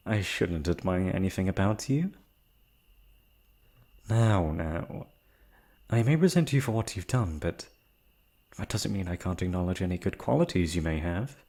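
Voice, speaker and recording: A man's voice: sits low, lively intonation, a little slow, pauses often, a little mellow, heavily acted. A man acting a shy, proud, wistful noble prince in a romantic fantasy roleplay, with hesitant, formal, sighing speech. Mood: wry, probing.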